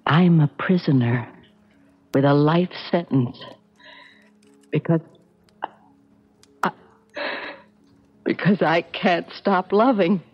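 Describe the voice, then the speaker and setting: An American actress playing a bitter, anguished middle-aged mother and wronged wife in 1970s radio drama, theatrical and halting. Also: on the low side, husky, soft background music. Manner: theatrical, halting, self-pitying. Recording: dull, lo-fi 1970s radio broadcast.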